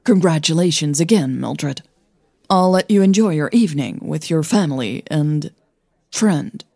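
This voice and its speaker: Woman voicing a courteous, eager gentleman, low and mellow. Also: animated, unhurried, gravelly, breathy edge, dry recording, clean studio sound, exaggerated voice.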